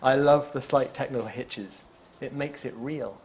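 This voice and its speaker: A man's voice: medium pitch, a little slow. A man reading a playful poem built from repeated lines. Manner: playful, lively reading with laughs. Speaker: event poet.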